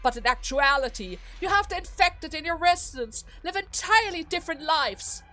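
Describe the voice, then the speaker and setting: A woman's voice: sits high, animated, moderate pace, sharp tone, soft background music, voice pushed up. A woman acting a defiant young noblewoman and daughter in a dramatic game story, accusing and emphatic with righteous anger. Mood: accusing, angry.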